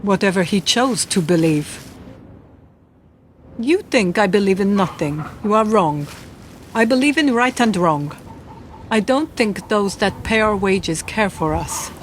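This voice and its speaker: An actress playing a cool, teasing, world-weary Polish woman spy in a radio drama, speaking in a measured, formal way. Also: mid-range voice, animated, lightly acted. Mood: defiant, weary. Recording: clearly noisy background.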